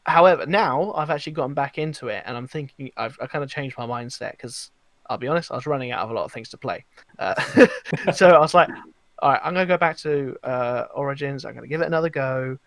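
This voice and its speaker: A man with a mid-pitched voice chats as a podcast panellist. He tells stories in a loose, hesitant, informal way, and the sound is very dry with no room echo. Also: fast, a bit bright. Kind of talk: casual chat, telling anecdotes.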